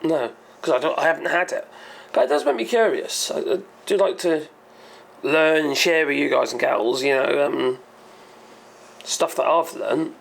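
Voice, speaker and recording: A hoarse man hosting his own channel, explaining a topic in a rambling, hesitant way and often correcting himself. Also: fairly high pitch, moderate pace, fairly bright tone. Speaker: channel host. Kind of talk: casual explainer monologue.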